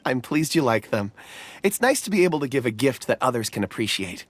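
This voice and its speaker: A man playing a gentle, earnest young man in a video game, polite and soft-spoken. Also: mid-range voice, big pitch swings, a little fast, a little hiss or hum, close to an everyday voice. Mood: pleased. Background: game music.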